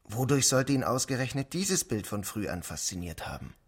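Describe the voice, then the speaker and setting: A man's voice: mid-range voice, big pitch swings, moderate pace, slightly rough. An actor playing a sceptical man who probes and questions in radio play dialogue. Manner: probing, doubtful. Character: sceptical man questioning an art historian's theory.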